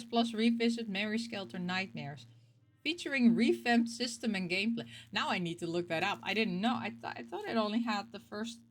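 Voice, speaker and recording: A middle-aged woman chatting casually as a game collecting show host, rambling and animated, often questioning herself aloud. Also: medium pitch. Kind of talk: casual rambling vlog chat about game pick-ups.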